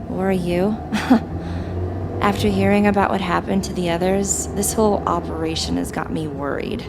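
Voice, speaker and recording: A woman's voice: fairly low pitch, steady tone, moderate pace, gravelly, noisy background. An actress playing a cool, guarded female criminal in an audio drama. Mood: doubtful, needling.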